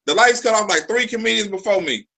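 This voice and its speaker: A man's voice: high voice, expressive, quick pace, creaky, a crowd in the background. A man in his forties hosting a live stream, telling loose, profane stories full of restarts. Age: 40s. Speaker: live show host. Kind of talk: casual live-stream chat.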